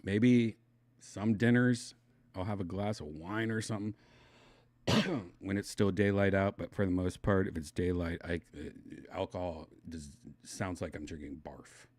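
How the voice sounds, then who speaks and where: A middle-aged man from Wisconsin with a slight lisp, chatting casually and reading his stream chat, loose and mumbling, trailing off into quiet asides. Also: sits low, steady tone, unhurried, dry recording. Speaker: livestream host reading chat.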